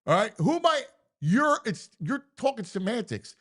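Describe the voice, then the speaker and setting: Animated stammering sports host. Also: male voice, extremely high pitch, moderate pace. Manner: animated, stammering restarts, bantering. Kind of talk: casual sports talk banter.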